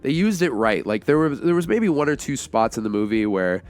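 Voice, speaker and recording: Excitable man chatting about film, music behind. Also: mid-range voice, a little fast. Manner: excitable fan talk, peppered with slang. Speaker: podcast co-host reviewing a film. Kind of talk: casual enthusiastic film discussion.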